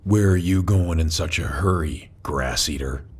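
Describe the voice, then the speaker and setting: A man's voice: unhurried, breathy edge, heavily acted. A man playing a big, intimidating wolf tough guy in an audio drama, speaking with territorial, drawling menace. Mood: menacing. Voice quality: deep, low voice. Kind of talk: audio drama character acting.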